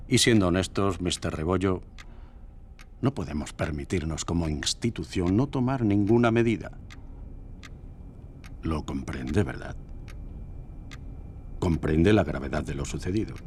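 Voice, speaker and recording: An actor playing a stern, formal American university dean who speaks in a measured, grave way. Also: male voice, low-pitched, darkish tone, slight background noise.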